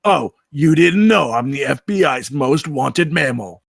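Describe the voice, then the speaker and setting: Man playing blustering, loud Bigfoot oaf. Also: fairly high pitch, lively intonation, unhurried, gravelly, no room sound, clean studio sound, voice pushed up, heavily acted. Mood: indignant, blustering. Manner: blustering, shouting threats and whining. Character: hot-tempered, childish, oafish male Bigfoot, easily wounded. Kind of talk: comedy screenplay table read.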